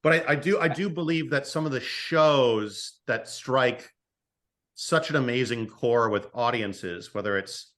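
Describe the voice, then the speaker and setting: Man talking haltingly in a casual interview. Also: medium pitch, fairly bright tone. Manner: hesitant, repeats words and stammers as thoughts form. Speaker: podcast guest, screenwriter and audio drama writer.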